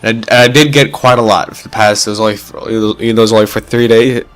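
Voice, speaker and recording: A man's voice: fairly low pitch, fairly even intonation, fast, fairly bright tone, low-quality recording. A man with a stuffy, congested voice, rambling enthusiastically through casual gameplay commentary with many fillers and restarts. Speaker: gaming YouTuber.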